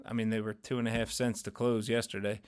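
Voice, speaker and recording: A man hosting a casual market recap, talking through trades in a rambling, conversational way with lots of fillers while his voice grows hoarse. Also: fairly low pitch, little pitch movement, fast talker. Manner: conversational, rambling, many fillers and self-corrections. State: voice getting hoarse, losing his voice. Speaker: finance channel host.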